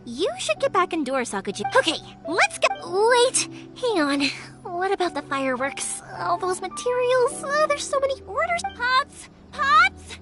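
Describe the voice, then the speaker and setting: A bubbly young fireworks maker in a game, with a very high, lively voice full of bounce. A game soundtrack plays beneath her. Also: normal speed, hardly any pauses. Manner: bubbly and energetic. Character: cheerful young female fireworks maker, upbeat. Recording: game music underneath.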